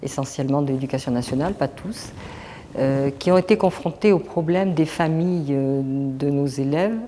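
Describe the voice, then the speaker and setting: Woman, middle-aged, teacher, low voice, measured explanation, echoey hall with faint noise. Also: mellow. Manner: measured, explanatory, many hesitations. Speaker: teacher running a French course association. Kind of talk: explaining in an interview.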